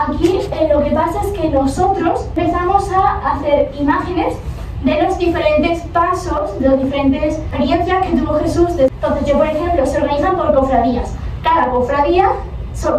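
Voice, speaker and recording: Teenage girl, Andalusian accent, class presentation, hesitant fillers, far from the mic, noisy echoey classroom. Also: high-pitched. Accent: Andalusian Spanish. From Spain. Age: teens. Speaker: exchange student presenting. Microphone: far camera.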